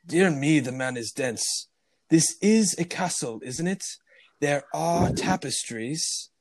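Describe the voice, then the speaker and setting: A man's voice: fairly high pitch, animated, slow, pitched above the performer's own voice. A man playing a haughty, indignant lord with an attempted Scottish accent in an amateur film script reading. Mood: haughty indignation. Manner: haughty, theatrical. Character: pompous, indignant fake Scottish lord, comically bad impression.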